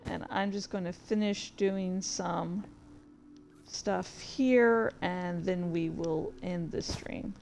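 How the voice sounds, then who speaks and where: A woman's voice: on the low side, steady tone, takes it slowly, vocal fry, a little mellow, faint music behind. A woman hosting a casual livestream, rambling and narrating her own actions with exclamations as she plays a game. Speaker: livestreamer and host. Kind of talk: casual livestream chat while playing a game.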